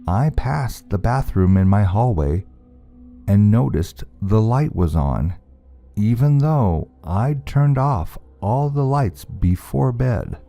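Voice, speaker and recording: Slow, dark-voiced male horror narrator. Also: on the low side, vocal fry. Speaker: narrator of scary story channel. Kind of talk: scripted horror story narration.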